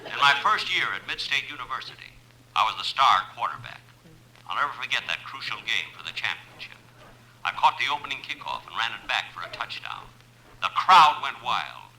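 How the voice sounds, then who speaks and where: Boastful college football star, lively delivery. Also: male voice, mid-range voice, a little fast, exaggerated voice. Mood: proud, reminiscing. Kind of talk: 1950s radio sketch narration. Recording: noisy old radio recording.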